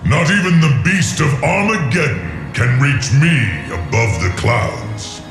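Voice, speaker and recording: An actor playing a proud, menacing ancient dragon in a video game, speaking in a grandiose, imperious, archaic manner. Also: male voice, mid-range voice, sing-song, unhurried. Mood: proud, solemn. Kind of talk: dramatic video game voice acting. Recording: echoing voice under prominent game music. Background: game music.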